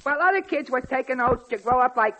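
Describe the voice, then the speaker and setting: High-voiced dopey young man. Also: expressive, brisk, pushed and bright, lo-fi sound, heavily acted. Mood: earnest, dopey. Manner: dopey, repetitive, ungrammatical. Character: dim, earnest young male comic-strip fanatic. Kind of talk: 1940s radio comedy sketch acting. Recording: vintage 1940s radio broadcast with noise.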